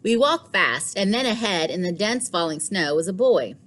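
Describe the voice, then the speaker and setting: A woman teacher reading a storybook aloud to children in an expressive way, with deliberate dramatic pauses. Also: fairly low pitch.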